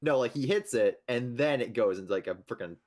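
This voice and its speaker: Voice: medium pitch, expressive, fairly quick, darkish tone. A player casually describing moves in a tabletop game.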